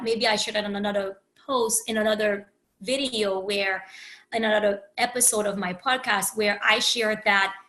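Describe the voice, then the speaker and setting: A woman's voice: a little high, sharp tone, low-quality recording. A woman from Haiti, a podcast host and coach, reflecting candidly in a rambling solo talk with many restarts. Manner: candid, rambling, with frequent restarts and "you know".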